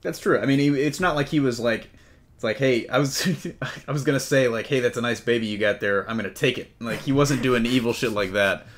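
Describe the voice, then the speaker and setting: A man's voice: medium pitch, a little fast. A man hosting a casual panel podcast about movies, talking in a jokey, loose way with some swearing. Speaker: podcast host. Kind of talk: casual comic-book movie panel chat.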